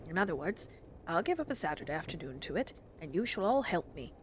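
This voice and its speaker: A woman's voice: lowish voice, sing-song, a little hiss or hum. Woman putting on a hearty, cheerful Edwardian father. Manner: brisk, jovial, encouraging. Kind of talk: storybook character reading.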